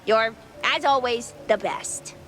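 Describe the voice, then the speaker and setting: High-pitched young cartoon mouse. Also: female voice, a bit bright, soft background music. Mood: awkward disappointment. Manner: halting, drawn-out. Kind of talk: animated noir game acting.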